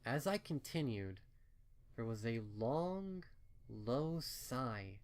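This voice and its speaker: A man reading a dark horror story in the first person, solemn and dramatic, as scripted narration. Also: mid-range voice, big pitch swings, slow pace. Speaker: fan fiction reader.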